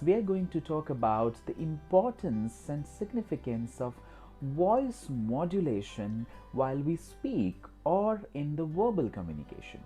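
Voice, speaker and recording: An Indian-accented man, a native Hindi speaker, hosts an instructional talk. His voice is soft-edged and mellow, with wide melodic swings. He speaks emphatically and repeats himself, over a clear music bed. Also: a little high. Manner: repetitive, emphatic, with frequent "you know". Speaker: host of a skills channel.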